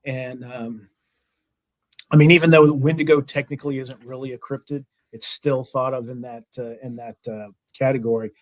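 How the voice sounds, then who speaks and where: A man's voice: mid-range voice, steady tone, normal speed, no room sound. Casual, filler-heavy male podcast host. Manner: loose, filler-heavy, self-deprecating joker. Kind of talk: casual interview chat.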